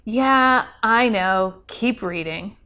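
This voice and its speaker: A woman's voice: medium pitch, drawn-out words, sharp tone, clean tone. A woman voicing a young non-binary novice angel, earnest and unsure, with a hesitant manner, in a read-aloud story. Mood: impatient.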